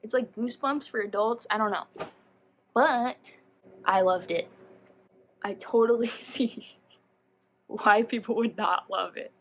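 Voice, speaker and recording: A woman giving a casual, chatty book review as a vlogger, animated and slangy, often rambling and interrupting herself. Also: fairly high pitch, measured pace, pauses often, a little hiss or hum.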